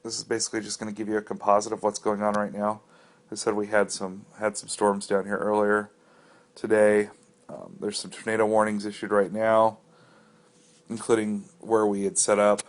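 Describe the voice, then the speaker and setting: A man's voice: lowish voice, completely flat delivery, normal speed. An American man narrating a casual, unscripted app walkthrough in a conversational, explanatory and somewhat hesitant way. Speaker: app reviewer giving a walkthrough.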